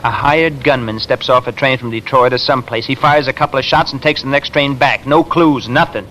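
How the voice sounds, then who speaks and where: An actor playing a nervous truck driver in a 1950s radio drama, talking in a defensive, colloquial way. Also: male voice, mid-range voice, fairly quick, bright, forceful tone, a little hiss or hum, natural voice, rough recording. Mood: tense, evasive. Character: nervous working-class truck driver, wearily telling his story. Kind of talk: 1950s radio drama acting, first-person narration. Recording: vintage radio recording with music underneath.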